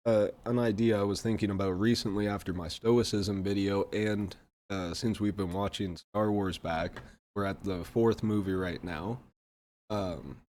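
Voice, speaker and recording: A man with a hoarse throat, getting over an illness, hosting a solo podcast in a reflective, rambling way. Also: lowish voice, darkish tone. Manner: reflective, rambling, many fillers. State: hoarse, recovering from a sore throat. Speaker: podcast host. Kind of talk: casual solo podcast talk.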